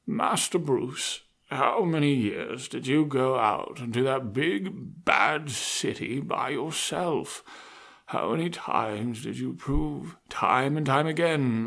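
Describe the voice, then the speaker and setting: An actor playing a loyal, wise butler in an audio drama, formal, measured and gently wry. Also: male voice, mid-range voice, expressive, gravelly, full character voice. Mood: patient, wry.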